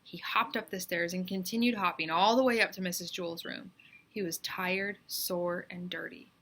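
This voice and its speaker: A woman reading aloud as a teacher, in expressive, deliberate and suspenseful storybook narration. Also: lowish voice, slight background noise.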